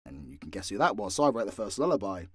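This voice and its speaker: A man's voice: fairly low pitch, sing-song, quick pace, a bit bright, husky, no echo, clean studio sound. A British man chatting casually as a voice actor and audio creator, sweary and self-deprecating as he tells stories. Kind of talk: casual candid Q&A chat.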